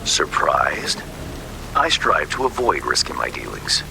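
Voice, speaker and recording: An actor playing an urbane, cagey fixer in a video game, with a breathy murmur and florid, wry, theatrical phrasing. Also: male voice, rumbling low, wildly swooping pitch, measured pace, heavily acted. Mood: sly, measured. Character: urbane, cagey underworld fixer man with sly wit. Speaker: game character, underworld fixer. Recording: rain and ambient noise behind.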